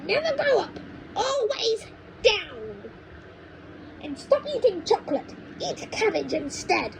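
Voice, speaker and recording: Storybook granny, played by a woman: tiny, old, bossy, snappish, high-pitched and slow, emphatic scolding. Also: sing-song, gravelly, exaggerated voice. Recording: noisy low-quality home microphone recording.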